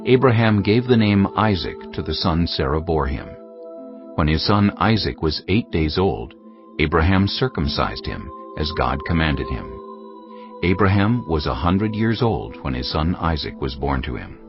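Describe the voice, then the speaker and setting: Very deep, expressive male scripture narrator. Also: normal speed. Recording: dull audio under clear music.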